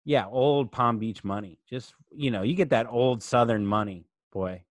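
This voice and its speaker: A man's voice: mid-range voice, normal speed, dry recording. A man chatting casually as a comedy podcast host, loose, rambling and jokey.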